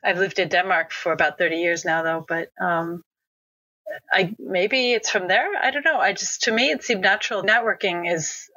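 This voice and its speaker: A woman's voice: on the low side, normal speed, pushed and bright, no room sound. A middle-aged woman with an American accent, a conference organizer, talking casually in an interview with many fillers and restarts. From United States. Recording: dull lo-fi sound.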